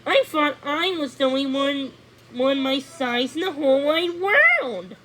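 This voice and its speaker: Storybook role: sweet, tiny young girl played by a woman, high-pitched, gushing and excitable, unhurried pacing. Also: a little hiss or hum, full character voice. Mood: polite, friendly. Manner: breathless, gushing, exclaiming. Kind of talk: storybook character voice.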